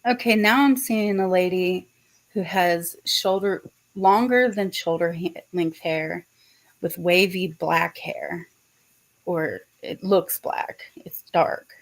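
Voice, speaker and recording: A woman from Virginia with a faint Southern accent, giving a gentle, tentative psychic reading in casual livestream chat. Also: fairly low pitch, steady tone, takes it slowly, low-quality recording. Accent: Southern US, subtle. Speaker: psychic medium host.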